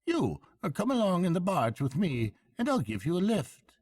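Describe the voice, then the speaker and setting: A man's voice: high-pitched, huge pitch swings, moderate pace, darkish tone, no echo, voice pushed up, full character voice. A man voicing a hearty, shrewd barge woman in a storybook reading, chatty and falsely respectful. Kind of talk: storybook character voice.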